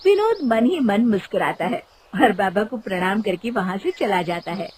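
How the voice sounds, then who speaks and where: A woman's voice: medium pitch, lively intonation, normal speed, heavy background noise. A woman reading scripted narration for a moral storybook cartoon.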